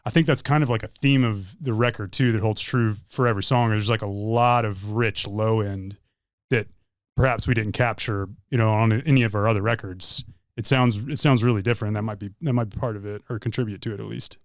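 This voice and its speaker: A man's voice: lowish voice, steady tone, brisk, dry recording, studio quality. A man in a band, talking casually in an interview and giving long, rambling explanations full of restarts. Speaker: band member.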